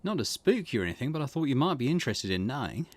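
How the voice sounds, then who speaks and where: Man reading as courteous, probing gentleman. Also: medium pitch, very animated, racing, no room sound, pitched above the performer's own voice. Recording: loud music behind voice.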